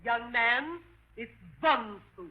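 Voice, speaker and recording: A woman's voice: fairly high pitch, slow pace. A woman playing a haughty lady who owns a haunted house, in a 1940s radio comedy sketch. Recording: muffled 1940s radio recording.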